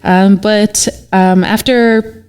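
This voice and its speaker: A woman's voice: mid-range voice, fairly even intonation, drawn-out words, darkish tone, clean tone. A young woman from Canada, an artist guest on a podcast, answering in a casual, hesitant way full of fillers.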